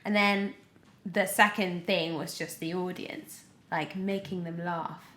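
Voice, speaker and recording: Young british woman chatting on a podcast, slightly low voice, lots of likes, roomy echo. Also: fairly even intonation, unhurried, fairly bright tone. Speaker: podcast guest, actress. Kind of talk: casual interview chat. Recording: roomy, echoey sound.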